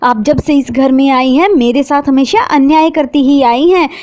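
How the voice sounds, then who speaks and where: Woman voicing a tearful, wronged young bride, high-pitched, dry sound. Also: animated, unhurried, darkish tone, no room sound. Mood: defiant. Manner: pleading, tearful. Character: mistreated gentle young woman, tearful daughter.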